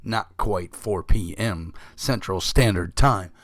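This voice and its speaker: A man, hoarse and rough-voiced as he recovers from illness, chatting casually to his channel and rambling with many fillers and throat clearing. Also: low voice, takes it slowly, no room sound. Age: 50s. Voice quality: rough, gravelly voice. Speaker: tabletop game channel host. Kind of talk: casual chat to camera.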